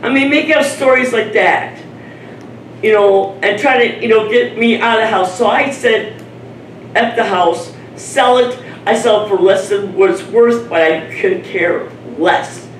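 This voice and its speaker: A man's voice: sits high, measured pace. A man from Chicago speaking from the audience at a community panel, blunt and rambling with a self-mocking streak. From Chicago, Illinois. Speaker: audience member. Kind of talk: open-floor comment. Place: event room at a community panel. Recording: roomy, distant, faint noise.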